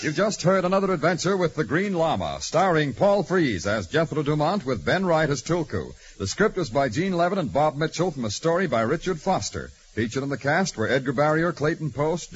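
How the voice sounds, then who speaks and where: A man's voice: on the high side, a little hiss or hum. A man announcing in the style of 1940s network radio. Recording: muffled vintage radio, music behind.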